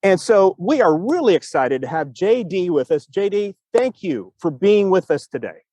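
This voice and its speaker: A man with a fairly high, animated voice co-hosts a casual show. He rambles enthusiastically and doubles back on his phrases, in a dry, unechoed sound. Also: normal speed, no room sound. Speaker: podcast co-host and storytelling teacher. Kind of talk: casual chat. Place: outdoors in a cemetery.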